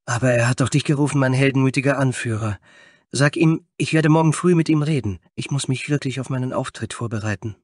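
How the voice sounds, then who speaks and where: A man's voice: mid-range voice, brisk, darkish tone, dry recording, studio quality, voice pushed up, lightly acted. A man voicing a dreamy, vain male tiefling bard with an airy, effusive and theatrical manner in a fantasy story. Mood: evasive.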